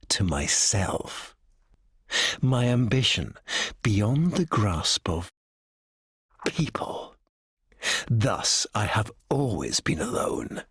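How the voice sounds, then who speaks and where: Game villain: cold, possessive, grandiose; very slow, dramatic delivery with huge swings and a gravelly edge. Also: male voice, fairly low pitch, no room sound, studio quality, heavily acted. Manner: theatrical, menacing, drawn-out phrasing that slips into breathy whispers. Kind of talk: dramatic video game voice acting.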